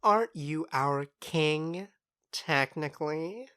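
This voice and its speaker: A woman's voice: low-pitched, slow pace, a bit bright, clean tone. A woman playing a mischievous, sly fairy trickster in a modern audio drama, teasing and theatrical, savouring words with smug unrepentance. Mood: smug, defensive.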